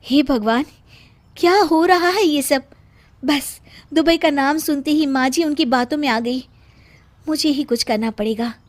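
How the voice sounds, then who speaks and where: A woman voicing an earnest, sharp-minded young daughter-in-law in an animated story. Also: sits high, a little slow. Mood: worried, scheming. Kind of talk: animated Hindi moral story dialogue.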